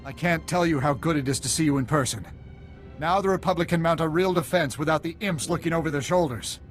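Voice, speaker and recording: A man's voice: a little high, fast talker, natural voice. A man playing an embattled, loyal squad leader in a video game, speaking in an emphatic military manner. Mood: grateful, relieved. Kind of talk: cinematic video game voice acting. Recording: music behind the voice.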